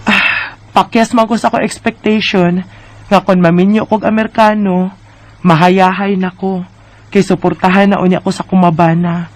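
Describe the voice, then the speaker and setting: A woman's voice: mid-range voice, flat delivery, moderate pace, close to an everyday voice. A woman with a Filipino Visayan accent acting a weary, homesick wife in an earnest radio drama. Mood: reflective. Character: weary, homesick Filipina wife divorcing her American husband.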